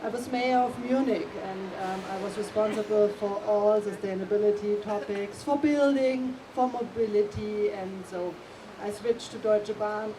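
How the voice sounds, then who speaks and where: A middle-aged woman speaking English with a German accent as a panel guest, pausing often with ums as she searches for words. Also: mid-range voice, steady tone. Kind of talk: casual panel talk. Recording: distant mic, faint room noise.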